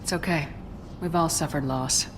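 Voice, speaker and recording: A resolute female commander, voiced by a game actress. Her voice is low, controlled and direct, with a businesslike manner. There is clear noisy ambience in the background. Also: normal speed, natural voice. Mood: reassuring. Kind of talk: dramatic video game acting.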